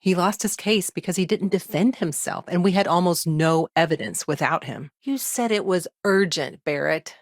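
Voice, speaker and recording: A principled, tired lawyer from a video game. Her voice sits on the low side and is recorded close and dry. She talks in a measured, articulate way, formal but with a wry, understated wit. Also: dry recording. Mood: composed, formal. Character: exhausted, dry-witted woman lawyer, earnest and principled. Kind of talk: naturalistic video game dialogue acting.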